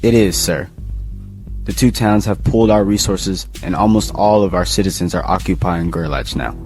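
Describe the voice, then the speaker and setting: Post-collapse community leader: folksy, steady negotiator, on the low side, audio drama. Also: male voice, moderate pace, faint music behind. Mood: measured. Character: steady, folksy male leader of survivor town.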